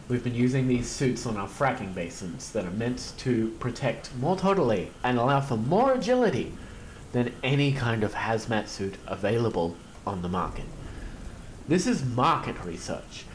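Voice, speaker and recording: A man's voice: medium pitch, slight background noise, natural voice. A man acting as an anxious, defensive corporate city man in an audio drama, officious and pleading by turns.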